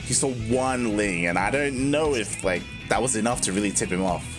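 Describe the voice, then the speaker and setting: A man doing relaxed, measured play-by-play as co-caster of a live strategy match. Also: fairly low pitch, music in the background. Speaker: esports co-caster.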